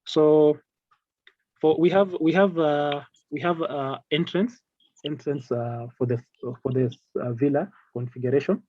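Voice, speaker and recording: A man giving an informal product training over slides in a halting, repetitive, hesitant way. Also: a little high, dull audio. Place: online video call with screen-shared slides.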